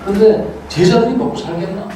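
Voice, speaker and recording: A man's voice: high-pitched, lively intonation, brisk, mellow, a little hiss or hum. An older man giving a casual, joking guest lecture, chatty and self-deprecating. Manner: chatty, self-deprecating, quotes others in playful voices. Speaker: guest lecturer, counseling professor. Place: large auditorium. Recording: echoey hall, voice from far off.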